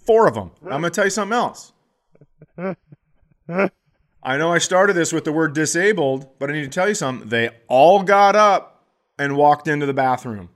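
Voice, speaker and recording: A middle-aged man, a comedian co-hosting a podcast, telling stories in a casual, animated way and acting out the people in them. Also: on the high side, fairly quick. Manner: animated, acts out voices in his stories.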